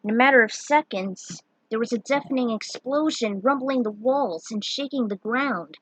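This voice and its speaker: A woman's voice: on the high side, normal speed, fairly bright tone, husky, no room sound, rough recording. A woman reading a scripted fantasy adventure story aloud as a dramatic narrator. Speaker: storyteller reading fan fiction.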